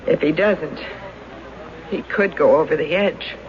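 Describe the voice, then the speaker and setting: An actress playing a worried, soothing wife in a 1970s radio drama. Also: female voice, sits low, a little slow, loud music behind the voice. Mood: troubled. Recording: muffled, hissy 1970s radio recording.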